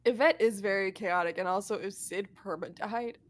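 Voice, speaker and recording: A woman's voice: mid-range voice, normal speed. Woman, casual podcast talker, playful swearing, middle voice. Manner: animated, blunt, swears playfully. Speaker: tabletop game player, voices several characters.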